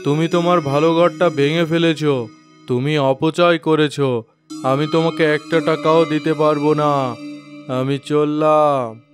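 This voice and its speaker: A magical cartoon money box speaks through a male actor with moral authority. The voice is somewhat high for a man. It is generous yet stern, with music playing clearly behind it. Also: flat delivery, a little slow, close to an everyday voice. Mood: stern, reproachful. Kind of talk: cartoon character dialogue.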